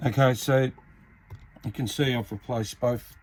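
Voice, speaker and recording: A man giving an informal, matter-of-fact repair tutorial, pausing mid-sentence as he works. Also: mid-range voice, steady tone, darkish tone, slight background noise. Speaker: hobbyist radio repairer, video host. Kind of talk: casual hands-on repair tutorial.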